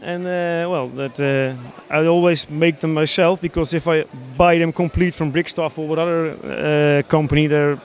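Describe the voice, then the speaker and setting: A man in his thirties from the Netherlands with a Dutch accent, explaining his hobby builds in a casual, halting interview. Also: on the high side, steady tone. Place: large busy exhibition hall, indoors. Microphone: handheld interview microphone.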